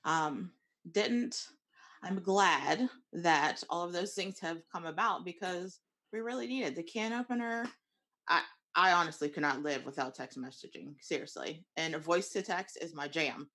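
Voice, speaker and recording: A woman's voice: on the low side, moderate pace, bright, forceful tone. A woman co-hosting a podcast in casual, conversational chat. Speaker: podcast co-host.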